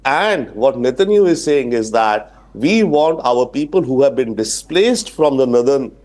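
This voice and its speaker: A man's voice: mid-range voice, animated, normal speed, a little mellow. A man with an Indian accent giving explanatory live news analysis as an expert guest. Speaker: international affairs expert guest.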